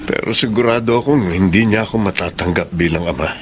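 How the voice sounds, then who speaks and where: A man's voice: deep voice, quick pace, a little hiss or hum, natural voice. An actor playing a meek middle-aged ex-convict father working as a servant, deferential and hesitant, in a Tagalog radio drama. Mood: wistful.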